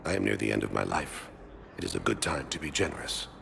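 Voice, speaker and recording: A dying alien in a game, super gravelly low voice, calm, formal and polite. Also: male voice, crowd noise, full character voice. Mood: resigned, gracious. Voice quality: deep, gravelly. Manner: formal, measured, calm phrasing. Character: gravely ill, serene, courteous alien man. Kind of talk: video game cutscene dialogue.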